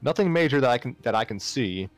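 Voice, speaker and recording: A man's voice: medium pitch, expressive, quick pace, no room sound, close to an everyday voice. A man playing a kindly, formal male university teaching assistant, earnest and encouraging, in a story reading. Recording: music playing behind the voice.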